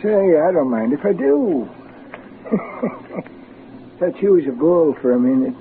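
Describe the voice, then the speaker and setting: A man's voice: fairly high pitch, big pitch swings, slow. An actor in 1940s radio style playing a shifty, streetwise old vagrant man who wheedles and rambles in slang. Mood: shifty, chatty. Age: older. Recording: muffled, noisy 1940s radio recording.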